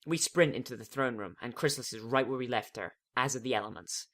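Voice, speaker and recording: A man's voice: medium pitch, fast talker, fairly bright tone. A man giving a dramatic first-person reading of a fan fiction story.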